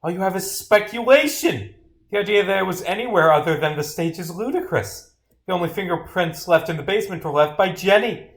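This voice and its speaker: A man voicing a scornful, combative courtroom adversary from a mystery visual novel, forceful and accusatory. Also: high-pitched, animated, fairly quick, voice pushed up. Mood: scornful, insistent. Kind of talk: dramatic read-aloud of visual novel dialogue.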